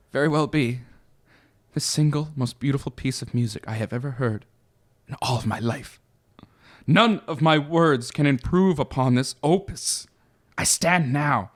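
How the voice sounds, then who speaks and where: Man playing a grandiose, bright-voiced theatrical poet. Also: medium pitch. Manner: theatrical, florid, declamatory. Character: grandiose, devoted male poet and writer, florid friend. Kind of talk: comedic audio drama acting.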